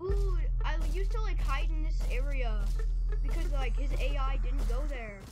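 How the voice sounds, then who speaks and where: A young teenage boy giving casual, rambling, slangy and excitable commentary while he plays a game. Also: very high-pitched, normal speed. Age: young teen. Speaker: gaming video maker. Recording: loud game music behind voice.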